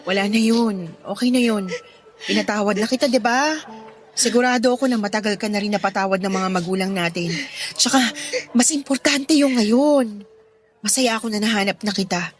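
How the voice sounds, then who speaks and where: A woman playing a concerned, forgiving elder sister in a radio drama, with a gentle, sympathetic manner. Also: mid-range voice, normal speed, soft background music, close to an everyday voice. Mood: forgiving, tender.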